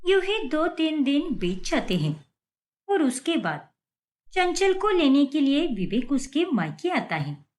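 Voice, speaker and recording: A woman's voice: high-pitched, big pitch swings, a little slow, darkish tone. A woman storyteller reading scripted narration for a tale.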